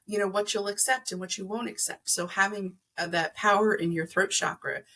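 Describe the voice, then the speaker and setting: A woman's voice: medium pitch, fairly even intonation, brisk, bright, forceful tone, poor audio. A middle-aged woman explaining things conversationally as an interview guest, earnest and emphatic, acting out imagined remarks. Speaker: podcast guest, energy healer.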